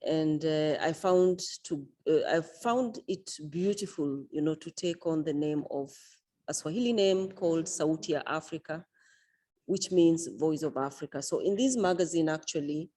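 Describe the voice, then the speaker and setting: A woman speaks at a book launch with a low, mellow voice. She talks about her work in a measured, unhurried way and fills her pauses often with small hesitations. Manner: measured, unhurried, with frequent fillers. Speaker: author and event organizer.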